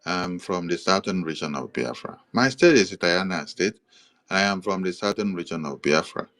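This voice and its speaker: A man with a Nigerian accent walks through a map in a measured, repetitive cadence, stopping now and then to correct himself. His voice sits on the low side. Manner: steady, repetitive listing with hesitations. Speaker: commentator presenting a map. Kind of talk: explanatory monologue.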